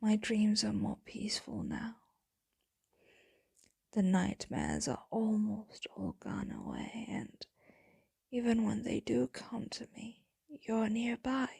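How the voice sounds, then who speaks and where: A woman playing a tender, tormented female vampire lover in an intimate, brooding ASMR roleplay monologue, in a breathy, husky whisper. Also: mid-range voice, big pitch swings, no echo, heavily acted. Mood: wistful, soft. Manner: slow, brooding, hushed delivery.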